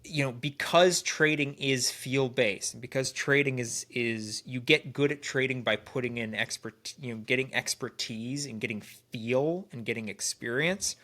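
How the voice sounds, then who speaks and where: A man's voice: mid-range voice, moderate pace, hardly any pauses, sharp tone. A man co-hosting a trading podcast, sounding nasal and congested from a cold, who often restarts phrases as he talks. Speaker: podcast co-host. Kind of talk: casual podcast chat.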